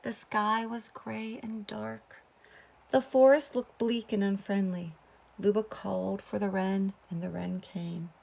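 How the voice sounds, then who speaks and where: A woman's voice: medium pitch, steady tone, slow, gravelly, a little mellow. A woman reading a children's story aloud expressively, like a teacher to pupils. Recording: faint background noise.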